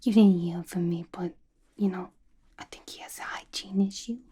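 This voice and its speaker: A woman playing a young, playful, violent-minded female villain in an ASMR roleplay, gossiping to the listener in a chatty, conspiratorial breathy whisper. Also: fairly low pitch, fairly even intonation, moderate pace, natural voice. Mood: gossipy, scornful. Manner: chatty, conspiratorial, giggly, colloquial. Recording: clear background noise, soft clicks.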